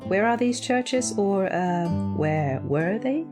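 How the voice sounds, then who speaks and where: A woman's voice: medium pitch, expressive, takes it slowly, voice pushed up. A woman voicing a prim, dry male angel in an audio story, genteel and a little impatient, with guitar music behind. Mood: deadpan, impatient.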